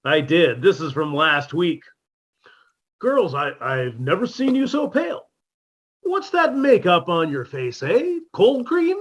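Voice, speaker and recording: Man playing a high, theatrical wisecracking musician. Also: very animated, slow, exaggerated voice. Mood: wry. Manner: theatrical, dry punchlines. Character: shabby, wisecracking older musician neighbor, comically self-pitying. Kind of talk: 1950s radio comedy acting.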